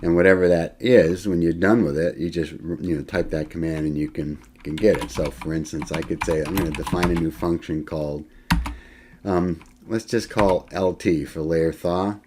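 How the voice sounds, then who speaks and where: A male college instructor giving a screen-recorded software lecture, talking in a relaxed way as he demonstrates and thinks aloud, with fillers and self-corrections. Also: low voice, moderate pace, a little hiss or hum. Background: keyboard typing.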